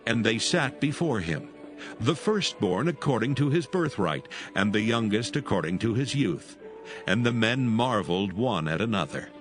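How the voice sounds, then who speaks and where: A man's voice: fairly low pitch, expressive, moderate pace, music in the background. A man narrating solemn scripture as part of a dramatised audio Bible.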